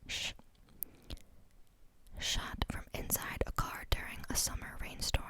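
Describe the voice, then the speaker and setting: Woman reading aloud in a whisper. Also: takes it slowly. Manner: soft unvoiced whisper throughout; reads steadily, stumbles and restarts on words. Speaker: reader.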